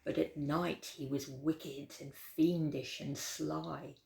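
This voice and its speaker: A woman reading a rhyming children's picture book aloud as a storytime host, with expressive, dramatic emphasis. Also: low-pitched, slow, gravelly. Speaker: library storytime reader. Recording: roomy, echoey indoor sound.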